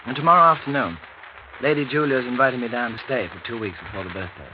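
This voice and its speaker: An actor playing a courteous, thoughtful young English gentleman in old-time radio drama, speaking in a polite, measured, reflective way. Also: medium pitch, noisy background, close to an everyday voice. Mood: curious, reflective. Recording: muffled vintage radio recording.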